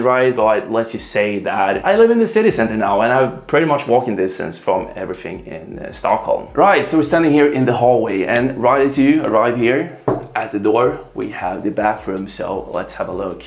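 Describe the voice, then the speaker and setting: A young man in his twenties with a Swedish accent, casually showing viewers around his apartment and often checking in with "right?" and "you know". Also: mid-range voice. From Sweden. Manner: relaxed, chatty, peppered with "right?" and "you know". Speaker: vlogger. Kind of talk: casual vlog apartment tour. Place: apartment indoors.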